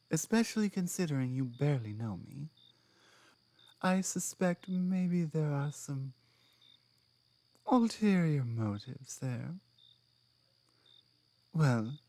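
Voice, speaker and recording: A woman playing a strong, blunt orc woman in an ASMR roleplay, speaking in an earnest, halting and deliberate manner. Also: low voice, mellow, no room sound. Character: strong proud female orc laborer, blunt, flirtatious, grateful.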